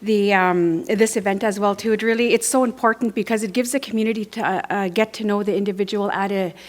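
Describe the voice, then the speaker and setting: A woman with a northern Canadian accent makes an unscripted campaign speech at a forum. She talks haltingly at an average pitch, repeating words as she looks for the right phrase. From Northwest Territories, Canada. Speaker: political candidate, former legislator.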